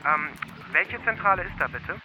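Neugieriger Telefonhacker mit höherer Stimme. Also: male voice, sits high, expressive, moderate pace, pushed and bright, full character voice, poor audio. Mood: bluffing, insistent. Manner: hesitant, then bluffing officiously. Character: nosy male phone hacker posing as a line technician. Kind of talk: German radio drama acting. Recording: music behind the voice.

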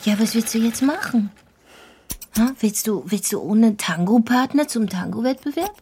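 An actress playing a lively, incredulous young woman confiding with a friend in a naturalistic radio play. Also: on the high side, normal speed, slight background noise. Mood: incredulous, teasing. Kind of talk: naturalistic radio drama acting.

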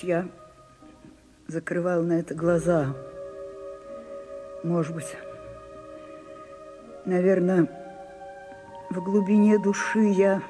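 An aging stage star in a radio drama, bitter and turned inward. She speaks slowly and confessionally in a mellow, darkish voice. Soft music plays faintly beneath her. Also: on the low side. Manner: slow, brooding, confessional. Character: aging famous actress, bitter and self-searching. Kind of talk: 1980s radio drama acting.

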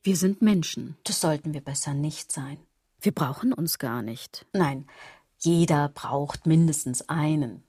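Husky, sardonic, brooding woman. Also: low-pitched, a little slow, warm tone, no room sound. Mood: wistful, self-mocking. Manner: ironic, theatrical, shifting into breathy whispers. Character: sardonic, brooding woman philosophising bitterly about fashion. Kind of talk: radio drama monologue.

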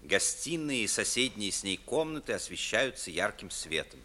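Male narrator, 1950s radio theatre stage directions; slightly deep, crisp-edged, varied intonation. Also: big pitch swings, moderate pace, sharp tone.

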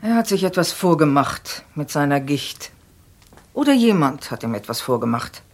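A woman's voice: low voice, sing-song, normal speed. An actress playing a stern, inquisitive middle-aged aunt in a radio crime play, composed and pointed in her questioning. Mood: clinical, suspicious.

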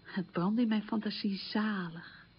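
An actress playing a reflective middle-aged woman recalling wartime childhood hunger in a halting, emphatic radio monologue, with a husky, creaky voice. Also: mid-range voice, steady tone. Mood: wistful, emphatic. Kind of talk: radio play monologue, conversational reminiscence. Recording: dull lo-fi radio recording.

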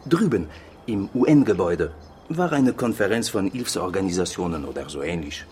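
An actor playing an easygoing, playful French journalist with a French accent in a radio drama. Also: male voice, low voice, animated, moderate pace, a little mellow, slight background noise. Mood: confiding.

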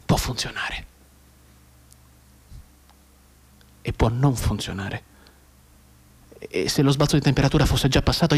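A bedridden wounded soldier in a radio play. The voice is husky and breathy at a middle pitch. He soliloquises intensely, obsessed with time, and can sink into a whisper. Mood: anxious, determined. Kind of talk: theatrical radio drama monologue.

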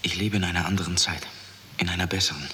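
An actor playing a miserable, reluctant young recruit in a 1960s German radio drama, halting and protesting. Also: male voice, low voice, fairly even intonation, sharp tone. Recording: noisy, hissy, heavily reverberant radio recording.

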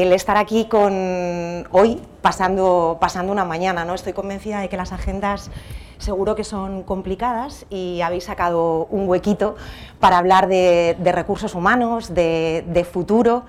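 A woman's voice: lowish voice, steady tone, moderate pace, slight background noise. Castilian-accent woman giving a talk at a university event, chatty, lots of restarts and little questions to the crowd. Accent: Castilian Spanish. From Spain. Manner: warm, many restarts and fillers, rhetorical questions to the audience. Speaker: keynote presenter. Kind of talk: conference presentation. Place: university auditorium.

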